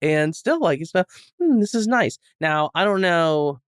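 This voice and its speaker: A man with a somewhat high voice chats casually on a review podcast. His joking delivery halts and rambles, and the recording is dry and close. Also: very animated, normal speed, clean tone, no room sound. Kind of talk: casual comedic chat.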